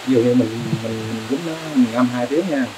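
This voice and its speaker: A man's voice: medium pitch, brisk, music in the background. A middle-aged man, a shrimp farmer, explaining his methods in a plain, matter-of-fact, rambling way during an on-site interview in an open farm shed. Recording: noisy outdoor farm recording.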